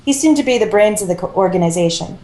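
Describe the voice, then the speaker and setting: Mellow woman reading aloud in an echoey room. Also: mid-range voice, expressive, fast. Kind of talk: reading fan fiction aloud, casual.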